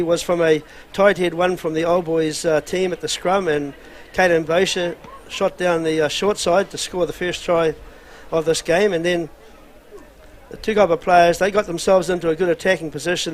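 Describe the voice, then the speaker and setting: A man commentating a club rugby match outdoors, speaking in a relaxed, halting way with many fillers. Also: on the high side, a little hiss or hum.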